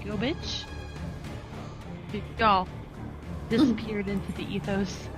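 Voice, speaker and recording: A woman's voice: medium pitch, steady tone, slow. A woman chatting casually as a player in a tabletop game, playful in her manner. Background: background music.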